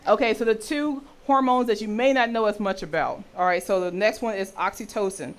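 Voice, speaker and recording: Deep-voiced woman teaching a workshop. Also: fairly quick. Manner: checks in often with "okay?" and quizzes listeners. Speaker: health and intimacy educator.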